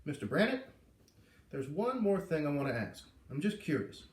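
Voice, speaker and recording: A man's voice: fairly high pitch, big pitch swings, moderate pace. A man reading the part of a mild, earnest, folksy policeman in a storybook. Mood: mildly concerned. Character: plain-spoken, mild, curious policeman. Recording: echoey room, far from the mic.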